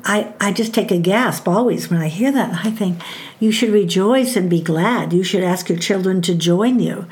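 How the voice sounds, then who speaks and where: An older woman giving a devotional talk on parenting and faith, speaking in a measured, emphatic way and slowing down on scripture references. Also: mid-range voice, a little mellow.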